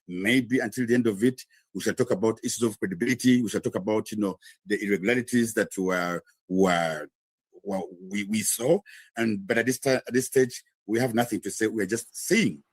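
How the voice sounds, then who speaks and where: A man's voice: medium pitch, breathy edge, no room sound. A man from Uganda reporting live as a correspondent in a news interview, explaining at length with frequent fillers.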